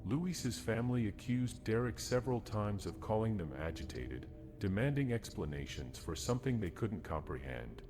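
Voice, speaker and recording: A man's voice: on the low side, expressive, moderate pace, few pauses, over music. A man reading scripted narration for a horror story, as a narrator. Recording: faint noise behind the voice.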